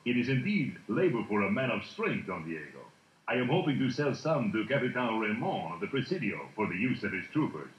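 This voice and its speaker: An actor playing a villainous, bullying horse dealer in a dramatised storybook. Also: male voice, on the low side, big pitch swings, brisk, slight background noise. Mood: slyly deferential. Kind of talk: dramatised storybook recording acting. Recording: muffled, roomy storybook recording.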